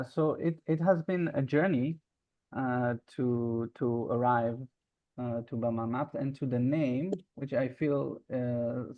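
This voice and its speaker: Man being interviewed, thoughtful, lots of pauses, muffled call audio. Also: mid-range voice, no echo. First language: Hebrew. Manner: reflective, searching for words with frequent pauses. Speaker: guest, social arts facilitator and founder. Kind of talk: casual interview conversation.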